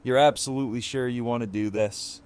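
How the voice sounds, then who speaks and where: A man playing a serious, considerate community leader in an audio drama, earnest and deliberate. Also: mid-range voice, fairly even intonation, darkish tone, smooth, natural voice. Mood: concerned.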